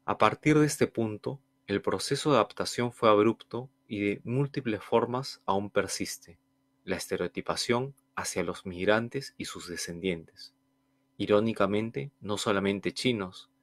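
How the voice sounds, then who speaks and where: Low-voiced man reading slowly. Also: no room sound, clean studio sound. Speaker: artist reading his statement. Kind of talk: scripted reflective narration.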